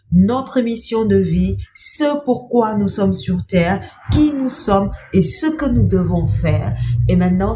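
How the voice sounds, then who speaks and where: Slow-speaking woman with a cold. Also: medium pitch, slight background noise. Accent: French. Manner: slow, gentle, repeats "bien-aimé" and pauses with "euh". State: has a cold. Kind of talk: devotional talk to camera.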